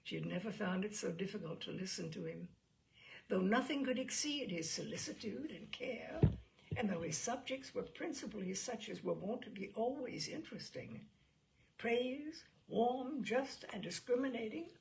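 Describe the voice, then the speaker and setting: A woman narrates classic literary fiction in a measured, expressive way. Her voice sits at a middling pitch, with plenty of gravelly fry running through it. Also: a little hiss or hum, poor audio. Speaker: audiobook reader. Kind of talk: literary narration of a classic novel, voicing dialogue.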